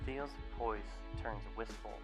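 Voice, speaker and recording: A man reading a visual novel live in a soft, quiet narration, chatting with viewers between lines. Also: on the low side, steady tone, slow pace, over music.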